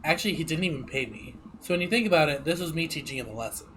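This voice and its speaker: A man playing a sarcastic teenage troublemaker, flippant and unbothered. He speaks a touch high, with a faint hum of room noise behind him in a game stream. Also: expressive, very fast, close to an everyday voice. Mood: smug.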